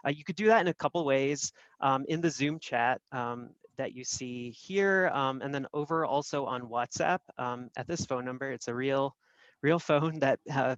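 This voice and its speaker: A man's voice: medium pitch, a bit bright, dry recording, poor audio. A man co-hosting an online event in a casual, filler-heavy way over a video call. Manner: hesitant, filler-heavy delivery. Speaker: event co-host. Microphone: video call microphone.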